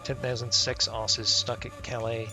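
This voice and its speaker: A man's voice: mid-range voice, level tone, a little slow. A man live-streaming a game, reading its text aloud and adding casual, sweary, offhand comments, with game music behind him. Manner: casual, profane, offhand asides.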